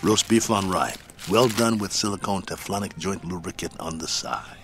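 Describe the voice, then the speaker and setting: A man's voice: sits low, normal speed, fairly bright tone, slight background noise. An actor playing an eager, down-on-his-luck male fight manager who talks in a hopeful, pleading way, in a radio drama. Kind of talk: 1960s-style radio drama acting.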